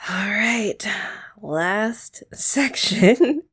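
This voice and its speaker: A young woman doing a soft, teasing, affectionate ASMR girlfriend roleplay, often laughing, close to the mic. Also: medium pitch, lively intonation, drawn-out words, breathy edge.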